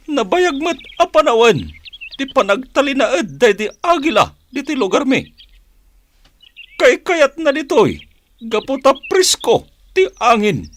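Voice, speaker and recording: A woman playing a devoted, reassuring male village chief and father in a radio drama. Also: high-pitched.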